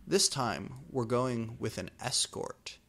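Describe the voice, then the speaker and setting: A man's voice: mid-range voice, slow pace, creaky, pushed and bright, heavily acted. A man voicing an uncomfortable, earnest teenage goth boyfriend who pleads emphatically in a story reading. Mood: pleading.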